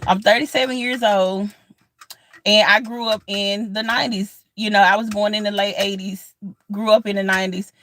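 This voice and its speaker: A woman's voice: mid-range voice, steady tone, moderate pace, a bit bright, a little hiss or hum. A woman in her thirties chatting casually outdoors, earnest and encouraging, repeating herself with lots of fillers. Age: 30s. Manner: earnest, repetitive, encouraging, colloquial with many fillers. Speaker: vlogger. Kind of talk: casual outdoor vlog chat. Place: outdoors in sunlight.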